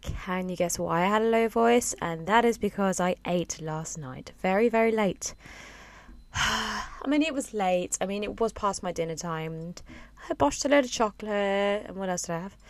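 A young woman hosting a casual solo podcast, rambling and chatty with sighs and filler words, her voice lower and a little husky after a late night. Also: expressive, normal speed, dry recording. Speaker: podcast host. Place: on a boat, small space.

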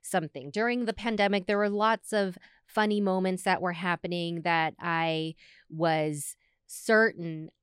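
A woman's voice: fairly low pitch, fairly even intonation, a little slow, clean tone, no echo, studio quality. A woman who is a mother and podcast co-host, talking reflectively in casual chat and thinking aloud with many fillers. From United States.

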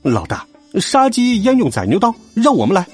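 A man's voice: high-pitched, wildly swooping pitch, moderate pace, breathy edge, faint music behind, pitched above the performer's own voice, exaggerated voice. A man voicing a sycophantic, timid schoolboy henchman in a children's story, fawning and wheedling.

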